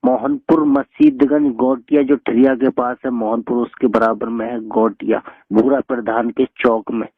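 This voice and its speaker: Gravelly scolding man on a muffled phone call. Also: medium pitch, expressive, moderate pace, no room sound. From Bareilly area, Uttar Pradesh, India. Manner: scolding, threatening, colloquial. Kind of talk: heated phone argument. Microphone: telephone.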